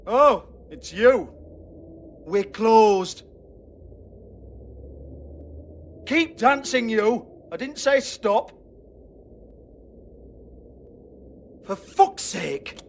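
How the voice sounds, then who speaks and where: An actor playing a sleazy, foul-mouthed strip club boss in a video game, with a British accent and a mocking, sarcastic manner. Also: male voice, high-pitched, slight background noise, heavily acted. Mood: irritated, bossy. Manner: sarcastic, sneering, profane.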